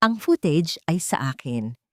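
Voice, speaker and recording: Woman voicing a low, firm, calculating patriarch. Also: sing-song, darkish tone, no echo, clean studio sound. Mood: firm, unflinching. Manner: measured, terse, unyielding. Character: calculating, controlled wealthy father, low firm voice.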